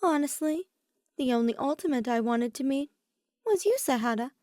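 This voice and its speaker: A woman's voice: high-pitched, animated, moderate pace, a little mellow, no room sound, clean studio sound, voice pushed up. A woman voicing a mischievous, lovestruck teenage boy in a theatrical, teasing way for a fan fiction reading. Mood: playful confession.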